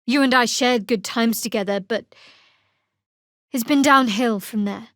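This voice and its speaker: A woman playing a guarded, wry young cleric of a dark goddess in a video game, with a dry, sardonic and measured delivery. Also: fairly high pitch, no room sound. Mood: teasing, intimate. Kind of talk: video game character dialogue.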